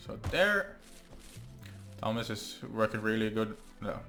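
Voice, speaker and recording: A young man with a Norwegian accent, English not his first language, casually talking through his gameplay and thinking aloud with frequent ums and pauses. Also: lowish voice, sing-song, pushed and bright, faint music behind. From Norway. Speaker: gaming channel host. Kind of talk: let's play commentary.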